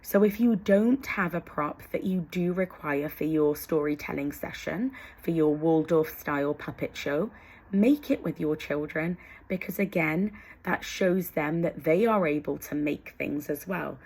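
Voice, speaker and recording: A woman's voice: low voice, a little slow, a little mellow, husky. A woman presenting in an informal instructional vlog, explaining conversationally with asides as she demonstrates, with faint background noise. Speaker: educator presenting storytelling props.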